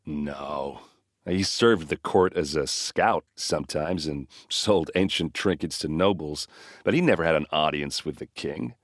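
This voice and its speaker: Brash warrior captain, low-pitched, gruff, blunt, wry, loyal hard drinker, video game dialogue, dry close recording. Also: male voice, measured pace, no echo. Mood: grave, subdued. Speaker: game character voice actor.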